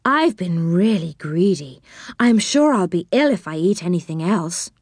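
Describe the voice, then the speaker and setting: A woman's voice: on the low side, animated, a little slow, darkish tone, dry recording, clean studio sound. A woman voicing a dainty, frank female companion in a children's story, plaintive and emphatic. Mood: overfull, regretful.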